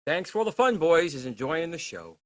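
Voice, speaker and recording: A man's voice: a little high, big pitch swings, fairly quick, no room sound. A man chatting casually and jokingly as a co-host who reads out viewer messages.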